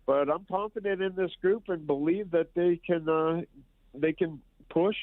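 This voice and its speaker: A man's voice: on the high side, no room sound. A man guest-analyzing hockey on the show, stuffed up and nasal, rambling and restarting his phrases. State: stuffed-up nose. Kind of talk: casual sports talk over the phone. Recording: tinny, dry phone line.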